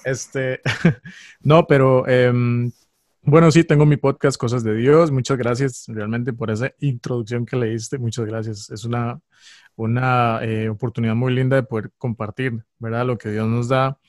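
A man's voice: medium pitch, fairly even intonation, a little slow, a little mellow, no echo, clean studio sound. A man with a Costa Rican Spanish accent, a podcast guest chatting in a friendly, playful way. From Costa Rica. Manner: friendly, playful, laughs easily. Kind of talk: casual chat.

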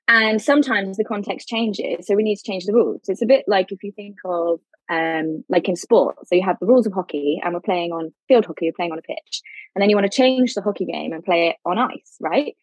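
A woman's voice: mid-range voice, fairly quick, slightly rough, no echo, poor audio. A woman speaking as a legal expert guest in a podcast interview, explaining things informally and conversationally. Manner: informal, explains with everyday analogies.

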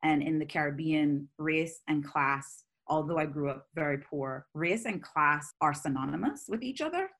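A woman speaks in a voice that sits very low for a woman. She is a scholar guest in an interview, outspoken and animated, running through long, connected thoughts. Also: moderate pace, few pauses. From Barbados. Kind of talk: conversational interview.

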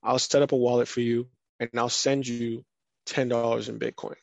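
A man in a casual podcast conversation, talking in a relaxed, rambling way with lots of fillers. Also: mid-range voice, normal speed, creaky, no room sound, highs cut off. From United States. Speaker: guest.

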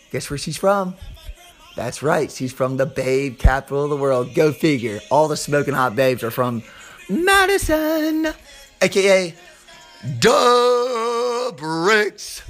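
A man with a Southern US accent and a slightly hoarse voice, hosting an informal sports podcast like a hyped showman, stretching words and repeating phrases. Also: a little high, sing-song, takes it slowly, fairly bright tone, soft background music. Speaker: podcast host.

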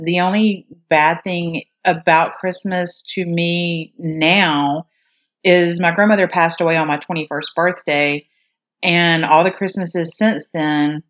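Deep-voiced woman, podcast co-host, easygoing and plainspoken. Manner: plainspoken, quick to laugh and joke. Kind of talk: casual chat.

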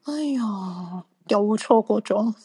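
A woman's voice: mid-range voice, fairly even intonation, slow, warm tone, slightly rough. A woman acting a cheeky, flirty fortune-teller in a Cantonese radio drama, teasing in colloquial Hong Kong speech. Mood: eager. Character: cheeky, flirty female fortune-teller friend, eager to see ghosts.

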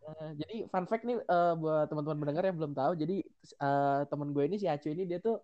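Young guy hosting a podcast, jakarta accent, slangy n agreeable, voice a bit high, dull lo-fi audio. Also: quick pace, dark tone. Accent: Jakarta Indonesian. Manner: slangy, agreeable, reacts often. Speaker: podcast host. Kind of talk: casual chat.

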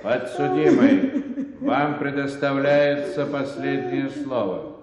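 An actor playing a solemn, formal court official declaiming in a radio drama. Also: male voice, mid-range voice, huge pitch swings, slow, darkish tone, a little hiss or hum. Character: solemn court official pronouncing sentence on a convict. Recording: echoey hall with long reverb.

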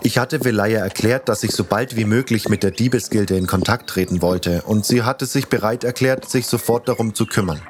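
A man's voice: on the low side, normal speed, few pauses, noisy background. A man narrating a fantasy audio story in the first person, reading from a script as its protagonist. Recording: faint music behind the voice.

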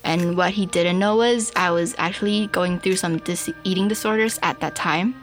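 Young Taiwanese woman, lowish voice, reflective storyteller. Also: moderate pace, soft background music. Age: young adult. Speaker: interview guest. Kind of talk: personal storytelling in an interview. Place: studio.

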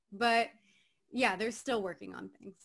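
A woman's voice: mid-range voice, steady tone, takes it slowly, creaky, a bit bright. A woman from Miami, Florida, a voice actor who is a guest on an interview podcast, talking in an upbeat way and laughing often. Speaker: guest, voice actor and agency owner. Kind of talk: conversational interview.

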